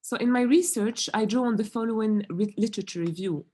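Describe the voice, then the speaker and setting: Young woman from Morocco, researcher presenting at a conference; mellow, warm tone, measured and careful, pausing often on fillers. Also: fairly high pitch. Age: young adult.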